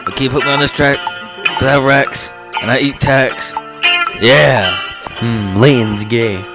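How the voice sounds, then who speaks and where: A man's voice: medium pitch, fairly even intonation, unhurried. A man doing amateur comedic rap in simple rhymes over a backing track. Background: plucked guitar music.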